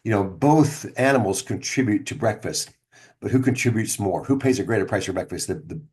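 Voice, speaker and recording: A man's voice: fairly low pitch, lively intonation, fairly quick, darkish tone. A middle-aged man tells his own story casually and directly to the viewer as a speaker would, conversational and leaning on key words. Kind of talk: casual first-person storytelling to camera.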